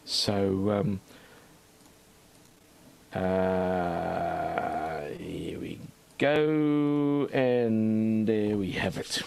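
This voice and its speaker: Rambling, lowish-voiced man with an Australian accent. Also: very slow, vocal fry, long pauses, a little mellow. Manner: rambling and hesitant, full of ums, restarts and asides. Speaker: archaeology channel host. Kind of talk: casual vlog commentary reading out a study.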